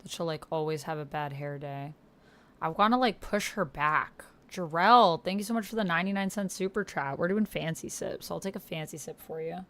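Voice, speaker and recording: Expressive woman livestreamer with a lowish voice. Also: a little fast. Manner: slangy, expressive, thinking aloud. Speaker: YouTuber livestreaming a text roleplay game. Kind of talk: casual livestream chat, reading and reacting. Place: indoors at a computer.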